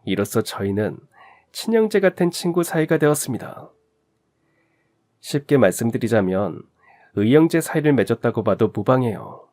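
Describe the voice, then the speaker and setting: A man narrating a personal story as a radio drama, in a measured, emotive storytelling manner. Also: mid-range voice, sing-song, a little mellow.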